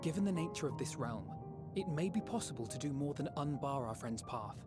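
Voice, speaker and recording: A man's voice: on the high side, expressive, natural voice. Man acting earnest young scholar hero, music behind. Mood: gently resolute. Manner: measured, earnest, formal diction. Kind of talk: dramatic fantasy game acting. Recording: orchestral game music behind.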